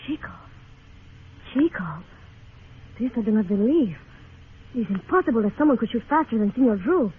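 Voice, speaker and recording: Scheming saloon seductress, played by an actress, sultry coaxing delivery, narrow vintage radio western sound with faint noise. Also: female voice, on the high side, animated, moderate pace, long pauses, close to an everyday voice. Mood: seductive, coaxing. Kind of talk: old-time radio western acting. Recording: tinny old radio recording, faint noise.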